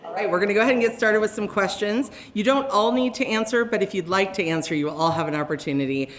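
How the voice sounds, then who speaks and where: A woman moderating a candidate forum, asking questions in a brisk, organized way. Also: mid-range voice, a little hiss or hum.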